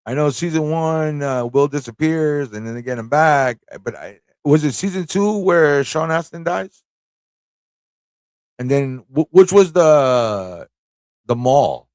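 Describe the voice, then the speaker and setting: Guy hosting a casual livestream, lively up and down voice, rambles a lot, super dry close sound. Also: fairly high pitch, a little slow, smooth, no room sound. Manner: rambling, animated, informal, with frequent rhetorical tag questions. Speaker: livestream talk show host. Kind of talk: casual fan chat on a livestream.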